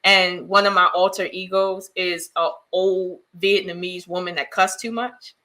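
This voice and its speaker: A middle-aged woman talks as a podcast guest, recounting how she became an author in a lively, laughing way. Her voice has a bright, forward ring to it. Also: lowish voice, a little slow. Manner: animated, laughing, storytelling. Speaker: guest, children's book author. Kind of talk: casual podcast chat.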